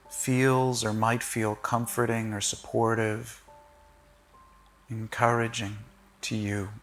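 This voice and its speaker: A man leading a guided body-scan meditation in short, gentle, measured phrases with long pauses. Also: medium pitch.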